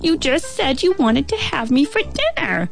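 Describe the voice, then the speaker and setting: A woman voicing a cheerful, dim-witted storybook fly who rambles on in a naive, unhurried way. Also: sits high, huge pitch swings, gravelly, pitched above the performer's own voice, exaggerated voice. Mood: innocent. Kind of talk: storybook character voice.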